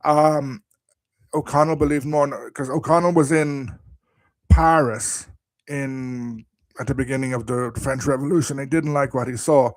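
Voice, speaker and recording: A man's voice: medium pitch, fairly even intonation, measured pace, no room sound. Man with a cold, explaining history. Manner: explanatory, thinking aloud, conversational. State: voice strained by a coming cold. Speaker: history presenter. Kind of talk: informal live history talk.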